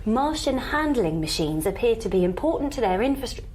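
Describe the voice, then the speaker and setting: Anxious British survivor, high-pitched voice. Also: female voice, big pitch swings, darkish tone, slight background noise. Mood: tense, urgent. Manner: urgent, halting, pragmatic. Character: anxious, resourceful woman surviving an alien invasion. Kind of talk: naturalistic audio drama acting.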